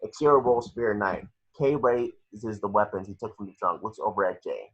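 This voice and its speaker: Muffled low-voiced man reading a screenplay. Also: normal speed, slightly rough. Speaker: reader of action descriptions. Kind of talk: screenplay narration at a table read. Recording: muffled lo-fi home recording.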